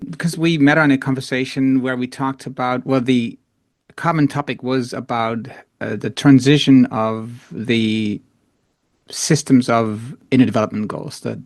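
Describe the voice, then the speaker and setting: Guy hosting a podcast, mid-pitch voice, pretty dry close sound. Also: darkish tone, no room sound. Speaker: podcast host and coach. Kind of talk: podcast intro and interview.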